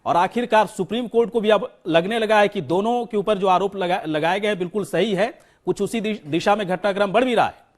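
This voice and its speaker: High-pitched male news anchor, emphatic delivery. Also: brisk. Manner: emphatic, repeats words. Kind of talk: live breaking news anchoring.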